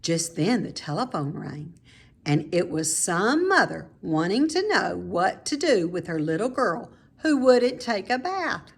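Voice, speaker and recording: A woman reading a children's story aloud expressively, with dramatic, drawn-out emphasis on key words. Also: medium pitch, very animated, vocal fry, breathy edge. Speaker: storybook reader.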